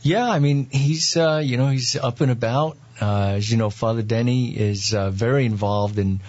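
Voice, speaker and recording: A man with a New York accent, a priest, telling his story in an interview with many pauses and fillers. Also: mid-range voice, darkish tone, lo-fi sound. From Long Island, New York. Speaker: priest, guest.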